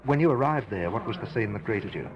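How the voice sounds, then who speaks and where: A man's voice: on the low side, lively intonation, fast, highs cut off, crowd noise. A man with an Australian accent, working as a radio news reporter, asking questions on the scene and reading reports. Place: on location, at venues and outside a hospital. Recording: noisy old radio recording on location.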